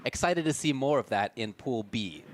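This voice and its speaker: An enthusiastic man casting a live fighting-game tournament. He breaks down play styles and matchups analytically, talking in quick bursts. A faint hum of ambience sits behind his voice. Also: medium pitch, animated. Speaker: esports commentator.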